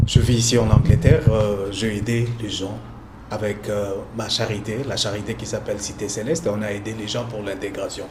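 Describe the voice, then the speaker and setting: A man from Congo being interviewed as a community entrepreneur, speaking in a measured way, listing his roles. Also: fairly low pitch. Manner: measured, speaks in lists, uses sayings. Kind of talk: interview answers. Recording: echoey room with clear noise.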